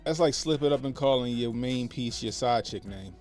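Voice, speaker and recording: A man hosting a casual political commentary livestream, talking loosely with slang and repeating phrases for emphasis, in what he calls a monotone voice. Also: mid-range voice, moderate pace. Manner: self-described monotone voice. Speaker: livestream host. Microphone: podcast mixer setup. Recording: faint background music under the voice.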